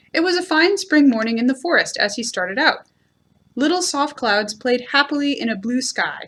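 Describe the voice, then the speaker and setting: A woman reads a children's storybook as a storyteller would. Her voice is fairly high and very melodic, rising and falling widely. She phrases deliberately and expressively. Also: fairly bright tone. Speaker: library storyteller.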